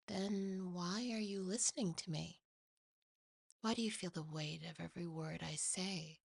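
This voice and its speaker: Lady doing a creepy possessive demon, hushed hissy whisper, really slow and cruel, super close dry sound, horror asmr. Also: on the low side, no room sound, heavily acted. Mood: tender, luring. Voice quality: hushed, breathy, hissing. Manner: slow, taunting, seductively cruel.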